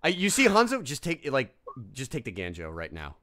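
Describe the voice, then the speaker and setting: Animated man casting a live game. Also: medium pitch. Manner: animated, quick asides and restarts. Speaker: esports caster.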